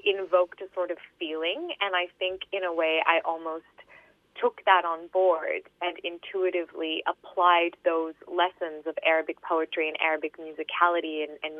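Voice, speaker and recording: A woman in her thirties from Australia, a poet answering interview questions with many fillers and restarts, then reading her poems in a steady, measured way. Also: deep voice, no echo. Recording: tinny phone-line sound.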